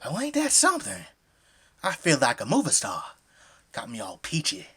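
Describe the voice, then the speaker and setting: A man's voice: on the high side, wildly swooping pitch, normal speed, vocal fry, fairly bright tone, husky, full character voice. Guy voice acting a friendly southern american dad, super folksy and welcoming, voice goes all over, audio play. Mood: jovial, welcoming. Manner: effusive, folksy, hospitable. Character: friendly, folksy middle-aged family man and father.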